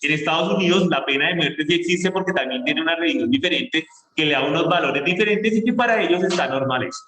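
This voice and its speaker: A man's voice: on the high side, sharp tone. Colombian man teaching with echoey sound. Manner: explains slowly, stretching key words. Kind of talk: online class lecture.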